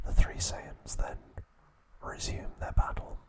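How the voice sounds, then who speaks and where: Whispering male ASMR narrator. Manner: slow, hushed, deliberate phrasing with pauses. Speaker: ASMR channel host.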